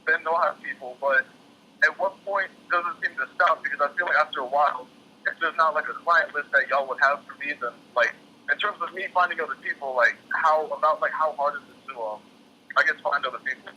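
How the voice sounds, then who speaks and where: Very bright-voiced young man, hesitant applicant. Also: fairly high pitch, brisk. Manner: hesitant, rephrasing, deferential. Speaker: job applicant. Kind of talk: casual phone interview talk. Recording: noisy telephone call.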